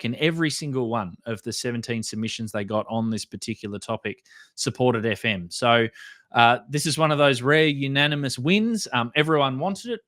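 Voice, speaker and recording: An Australian-accented man with a mid-pitched voice presents an explainer as a host. He talks informally and steadily, reading through material and commenting on it in plain words. The sound is dry, with very little room echo. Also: a bit bright. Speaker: host of a radio hobby channel.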